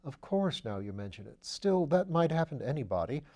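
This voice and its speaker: Brief: man reading a plain-spoken skeptical detective, mellow voice, storybook style. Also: fairly high pitch, sing-song, fairly quick. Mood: matter-of-fact. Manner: plain, matter-of-fact, skeptical. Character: level-headed male police detective friend. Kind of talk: audiobook character voice.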